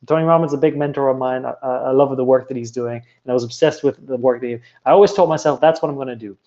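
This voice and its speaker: A man's voice: medium pitch, quick pace, mellow. A man in his thirties from Germany with a German accent, candidly telling an audience his life story with frequent restarts. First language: German or Italian. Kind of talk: personal story talk to an audience. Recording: dull lo-fi sound.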